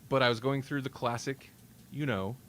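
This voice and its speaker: A man's voice: mid-range voice, moderate pace, fairly bright tone, slight background noise. A man hosting a casual podcast, talking in a rambling, wry way and often interrupting himself. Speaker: podcast host. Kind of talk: casual banter on a live-streamed podcast.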